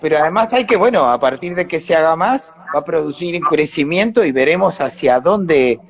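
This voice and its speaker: A man's voice: on the high side, animated, fairly quick. An Argentine man, an actor being interviewed, talking casually and reflectively as he explains things and searches for words. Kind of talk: casual live conversation.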